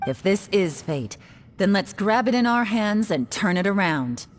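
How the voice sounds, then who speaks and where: An actress playing a cool, composed young woman investigator in a video game, speaking in a measured, deliberate way. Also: mid-range voice, lively intonation, slight background noise, lightly acted. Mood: resolute.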